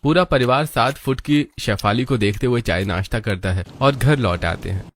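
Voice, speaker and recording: A man's voice: mid-range voice, moderate pace, lightly acted. A man reading scripted narration for a family cartoon story.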